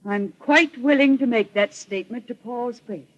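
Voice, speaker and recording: A woman's voice: a little high, normal speed, natural voice. An actress playing a poised, accusing society woman in a 1940s radio melodrama, formal and emphatic. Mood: insistent, accusing. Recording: muffled old radio recording.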